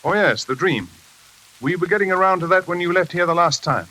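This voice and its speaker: An actor playing a polite, hesitant yet probing psychiatrist in a 1940s American radio drama. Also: male voice, high voice, lively intonation, fairly quick, sharp tone. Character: flustered but probing male psychiatrist. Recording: crackly, hissy vintage radio recording.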